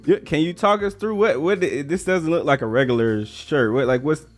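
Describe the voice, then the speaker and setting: A man's voice: a little high, moderate pace, faint music behind. An American man hosting a casual interview in a laid-back, slangy way. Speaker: interviewer, host.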